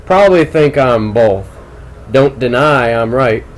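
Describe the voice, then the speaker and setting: Audiobook role: man as a clever teenage jock, coolly insistent and manipulative, very unhurried delivery. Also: medium pitch, expressive, close to an everyday voice, low-quality recording. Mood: coolly probing. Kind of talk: audiobook character dialogue.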